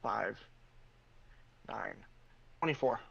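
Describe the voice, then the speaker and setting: A man's voice: lowish voice, animated, very slow, gravelly, fairly bright tone, slightly rough. A man chatting casually as a player in a tabletop game, on a slightly dull mic.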